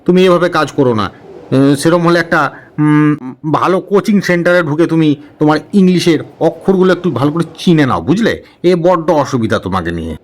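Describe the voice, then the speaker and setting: A man voicing a flustered, henpecked god of death in a comic cartoon, exasperated and halting, with sighs and repetitions. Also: on the high side, lightly acted. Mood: annoyed.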